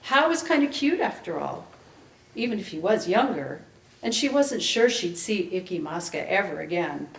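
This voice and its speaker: A woman author giving a dramatic, measured reading of fiction to be heard at a live event. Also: lowish voice, very animated, creaky. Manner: measured narration, acting out the dialogue. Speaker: author reading from own novel. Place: indoor library event space. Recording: echoey room, public event recording.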